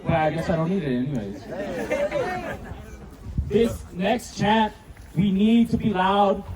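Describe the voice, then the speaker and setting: A man with a high-pitched voice addresses a protest rally outdoors. He projects loudly and rousingly as an emcee would. It is a live outdoor recording with crowd sound behind him. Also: slight background noise, rough recording. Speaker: rally emcee and chant leader.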